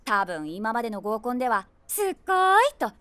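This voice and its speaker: A nosy middle-aged woman with a runaway imagination, telling a comic audio drama as inner monologue. Her voice is fairly high and very animated. She drops wry, theatrical asides as she passes judgment. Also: moderate pace, dry recording. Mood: wry, teasing. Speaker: narrator and main character.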